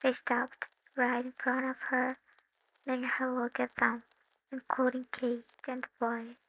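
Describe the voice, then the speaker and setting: Non-native woman narrator, high, creaky voice, slow, flat reading, lo-fi. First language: not English. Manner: slow, even storybook reading with occasional slips. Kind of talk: reading fan fiction aloud.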